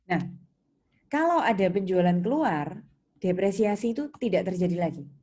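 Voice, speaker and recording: A woman's voice: mid-range voice, expressive, normal speed, dark tone. A woman giving a patient, casual explanatory lesson, often repeating and rephrasing points for her students. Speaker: accounting teacher. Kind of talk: explanatory tutorial lecture in casual spoken register.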